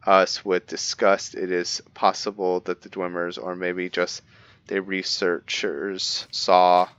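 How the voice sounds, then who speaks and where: Give me a man voicing a brooding vampire assassin reading his journal in a deep, smooth, measured voice. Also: a bit bright, no room sound, muffled recording. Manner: measured, stumbles now and then over words while reading. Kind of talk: reading in-character journal entries for a game roleplay.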